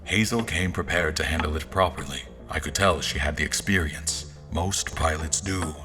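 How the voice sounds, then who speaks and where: A man playing a formal royal officer who narrates an audio drama in the first person, in a measured manner. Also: rumbling low, over music. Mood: reflective, measured.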